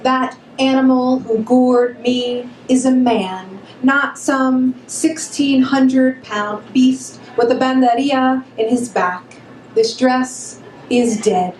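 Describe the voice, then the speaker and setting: A woman reads poetry aloud at a measured, slow pace. Her voice is high. The room is echoey, with faint noise behind her. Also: fairly even intonation, darkish tone. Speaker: poet. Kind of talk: poetry reading. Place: pub room, live reading.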